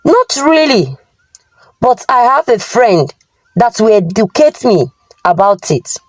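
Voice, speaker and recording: Female narrator as young Nigerian man, lazy, excuse-making, pleading, high animated pitch, folktale. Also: slow, dry recording, heavily acted, poor audio. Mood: casual, stubborn. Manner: pleading, evasive.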